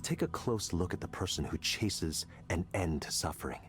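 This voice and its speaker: A man playing a confident, coldly intellectual tech executive in a visual novel, lecturing in a deliberate, persuasive way. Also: low-pitched, lively intonation, music in the background. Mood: persuasive, assured.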